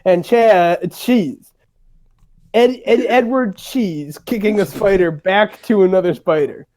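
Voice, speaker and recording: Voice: medium pitch, takes it slowly, a little mellow. A person narrating a comedic dramatic reading in a casual way, breaking into playful, mock-exasperated asides.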